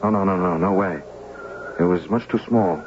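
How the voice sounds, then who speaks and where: A man's voice: low-pitched, moderate pace, darkish tone. An actor playing a lonely, preoccupied man in love, earnest and hesitant, in a 1970s radio drama. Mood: wary, puzzled. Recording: muffled, noisy 1970s radio recording.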